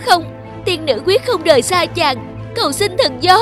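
A woman playing a gentle, devoted young fairy maiden in a cartoon fairy tale, speaking politely and earnestly. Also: very high voice, lively intonation, moderate pace. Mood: desperate pleading. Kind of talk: animated fairy tale acting. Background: background music.

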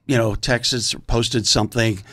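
A man's voice: medium pitch, fairly even intonation, measured pace, fairly bright tone, dry recording. A middle-aged man in a casual panel chat, speaking into a close studio microphone. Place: podcast studio. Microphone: close studio microphone on boom arm.

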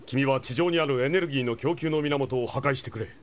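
An actor playing a stoic, noble giant robot warrior from space in an animated game cutscene, speaking gravely and deliberately. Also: male voice, mid-range voice, very noisy, close to an everyday voice.

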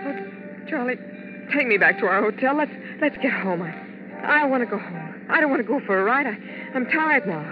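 An actress playing a frightened, clinging showgirl wife in a 1950s radio drama, halting and pleading. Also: on the high side, animated, close to an everyday voice. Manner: pleading, halting, repeating herself. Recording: dull 1950s radio broadcast with music.

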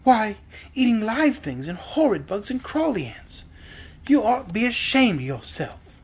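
Someone playing an earnest young country girl, lively. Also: medium pitch, unhurried, breathy edge, pitched above the performer's own voice, exaggerated voice. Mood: curious, shocked. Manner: earnest, slightly prim.

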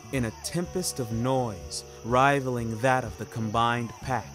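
A man narrating a scripted first-person story in a wry, theatrical, ornate manner. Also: mid-range voice. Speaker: narrator of a fiction podcast. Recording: music bed behind narration. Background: music.

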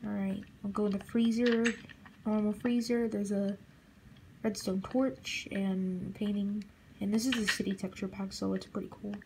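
Young woman, casual game tour, unhurried, monotone, relaxed fillers. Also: medium pitch, a little hiss or hum, low-quality recording. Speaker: channel host.

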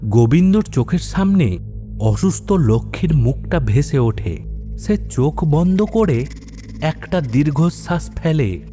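A man's voice: fairly high pitch, sing-song, slow. A man narrating a scripted horror story in a dramatic storytelling style. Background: background music.

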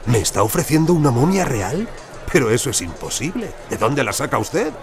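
An actor playing a proud, demanding American senator and collector with a pompous, theatrical manner in an audio drama. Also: male voice, mid-range voice, big pitch swings, a little fast, music in the background. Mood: bargaining, haughty.

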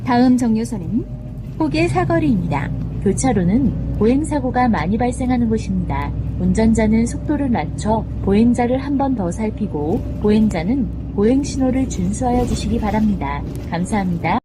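A woman's voice: on the high side, lively intonation, normal speed. A woman reading scripted bus stop announcements in a formal, carefully enunciated way. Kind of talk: scripted public transit announcement. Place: played over speakers inside a moving bus. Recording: noisy recording of bus cabin speakers. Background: loud vehicle and road noise.

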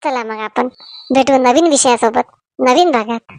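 A woman's voice: sits high, normal speed, gravelly, a little mellow, no room sound, studio quality. A woman addressing viewers in a scripted channel sign-off. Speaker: channel presenter.

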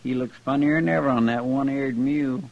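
Good-hearted rustic sidekick, male actor, creaky country drawl, old western radio with dull, faintly noisy sound. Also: medium pitch, fairly even intonation, a little fast, a little mellow, close to an everyday voice. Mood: earnest. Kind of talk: 1950s western radio acting.

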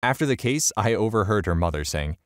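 A man reading a first-person online story aloud, telling it in an animated way. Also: a little fast, no echo, clean studio sound. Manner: animated storytelling with wide pitch swings. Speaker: story narrator.

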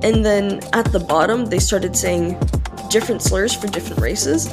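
A woman's voice: mid-range voice, moderate pace. A young woman from the US recalling her gaming in a matter-of-fact way. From United States. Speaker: interviewee gamer. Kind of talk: casual recollection. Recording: music bed underneath.

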